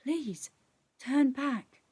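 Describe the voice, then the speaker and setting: A woman voicing a small, anxious fairy sprite in a children's story, pleading and urgent with hushed, dramatic warnings. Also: high voice, expressive, extremely slow, breathy edge, pitched above the performer's own voice, full character voice.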